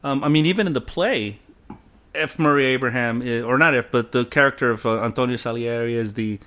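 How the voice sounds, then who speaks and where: A man's voice: medium pitch, a little fast, fairly bright tone. A man co-hosting a casual film discussion, blunt and opinionated, often laughing. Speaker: podcast co-host.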